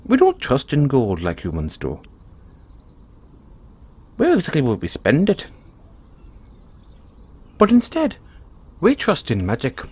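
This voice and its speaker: A man's voice: mid-range voice, huge pitch swings, slow pace, long pauses, darkish tone, slightly rough, heavily acted. Man playing a lilting, kindly leprechaun. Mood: cheerful, generous. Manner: folksy, reassuring, lilting. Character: kindly male leprechaun, playful and generous. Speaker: roleplay performer. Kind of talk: audio roleplay, speaking softly to one listener.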